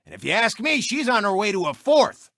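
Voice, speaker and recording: An actor voicing a cocky, boastful talking race car who hosts a sports show in a video game, with smug, showy, mocking commentary. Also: male voice, high voice, big pitch swings, brisk, fairly bright tone, no room sound, clean studio sound, full character voice. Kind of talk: animated sports commentary and taunting.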